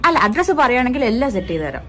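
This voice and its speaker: A woman's voice: on the high side, sing-song, rapid-fire, bright, forceful tone. A woman with a Kerala accent chatting casually in a phone conversation. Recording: noisy clip with vehicle ambience.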